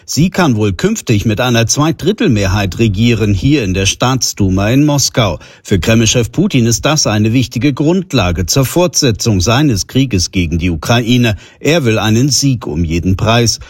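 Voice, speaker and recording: A man's voice: medium pitch, slightly rough, no room sound, clean studio sound. A man giving a serious news report as a foreign correspondent.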